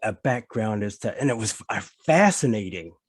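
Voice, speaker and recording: A man's voice: on the low side, expressive, a little fast, husky, dry recording. Enthusiastic man hosting a podcast, dry sound. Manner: enthusiastic, encouraging, repeats himself. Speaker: podcast host. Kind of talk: casual interview hosting.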